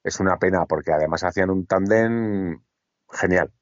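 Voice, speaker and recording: Low-voiced man, chatty. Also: moderate pace, no room sound. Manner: chatty, repeats words, many fillers and restarts. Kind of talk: casual chat. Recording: dry, dull lo-fi sound.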